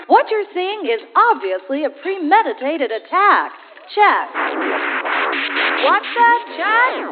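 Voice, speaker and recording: A woman playing an excitable TV reporter giving an urgent, dramatic breaking news report in a radio drama. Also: very high voice, slow, exaggerated voice. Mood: urgent, excited. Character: excitable female TV reporter at an attack scene. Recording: dull, reverberant news clip with music.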